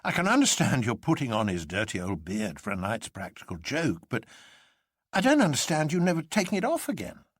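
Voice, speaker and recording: A man playing a quick, candid gentleman in a storybook, speaking directly with dry politeness. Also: medium pitch, wildly swooping pitch, slightly rough, dry recording. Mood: puzzled.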